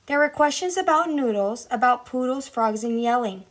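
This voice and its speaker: A woman's voice: fairly high pitch, lively intonation, normal speed. A woman reading a children's story aloud. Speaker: school staff member reading aloud.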